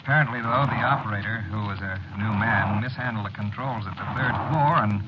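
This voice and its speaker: Actor as measured low-voiced man, very noisy radio. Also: lightly acted. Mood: sober. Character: man soberly explaining an elevator accident. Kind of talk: 1940s radio drama acting. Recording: very noisy, muffled old radio recording.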